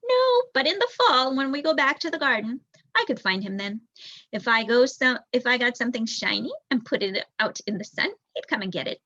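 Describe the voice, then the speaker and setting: A woman's voice: a little high, big pitch swings, brisk, bright, forceful tone, dry recording, dull audio, voice pushed up, exaggerated voice. A woman voicing an eager, bold young boy mouse in a storybook, insistent and pleading. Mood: excited.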